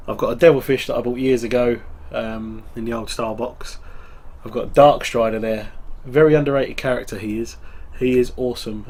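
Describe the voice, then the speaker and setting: A man with a cold and a stuffy nose, hosting a wargaming hobby channel and chatting casually with lots of ums. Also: mid-range voice. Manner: relaxed, rambling, full of ums. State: congested with a cold. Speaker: tabletop wargaming channel host. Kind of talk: casual hobby show-and-tell.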